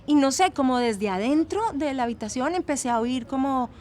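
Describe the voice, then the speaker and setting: A woman's voice: high-pitched, lively intonation, brisk, a little mellow, slight background noise. A woman playing a troubled young student, giving earnest, conversational testimony in an audio documentary drama. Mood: troubled, puzzled. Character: troubled young female student witness, sympathetic. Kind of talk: casual acted documentary testimony.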